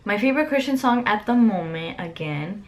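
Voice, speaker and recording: Young woman, Mexican-born, Spanish first language; relaxed bedroom vlog chat with loose fillers, close to the camera. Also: mid-range voice, normal speed. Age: young adult. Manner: chatty, many "like" fillers. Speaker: vlogger. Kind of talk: casual Q&A chat. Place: bedroom, indoors.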